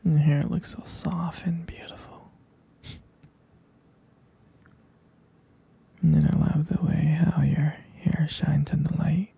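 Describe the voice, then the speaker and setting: A man playing an adoring, tender vampire lover in an intimate ASMR roleplay, whispering breathily and haltingly with gravelly fry. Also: fairly high pitch, flat delivery, exaggerated voice. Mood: dreamy, doting. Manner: slow, halting, softly repeating himself. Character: adoring, tender male vampire lover soothing his sweetheart.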